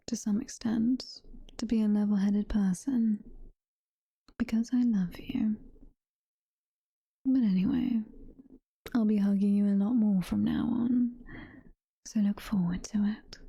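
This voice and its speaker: A woman playing a doting, possessive demon mommy girlfriend in an ASMR roleplay, whispering softly and tenderly with a British accent. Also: medium pitch, no echo, highs cut off, exaggerated voice. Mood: tender, grateful. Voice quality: breathy, soft-spoken whisper. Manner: slow, tender, coaxing. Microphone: close ASMR microphone.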